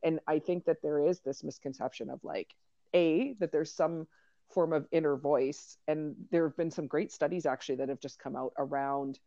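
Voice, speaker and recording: A woman hosts a relaxed mindfulness chat, her voice gravelly and full of fry. She rambles, circles back and restarts her thoughts. The dry, slightly muffled recording sits close to the mic. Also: low voice, normal speed, no echo. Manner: rambling, many fillers and restarts. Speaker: podcast host, coach to entrepreneurs. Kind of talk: casual conversation on mindfulness.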